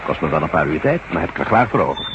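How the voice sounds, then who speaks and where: An actor playing a headstrong, sardonic ex-astronaut turned newspaper reporter in a radio drama, with a wry, theatrical delivery. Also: male voice, extremely low pitch, big pitch swings, racing. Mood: sly, gleeful. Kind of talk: radio drama acting in Dutch. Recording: muffled radio drama recording, clear hiss and hum.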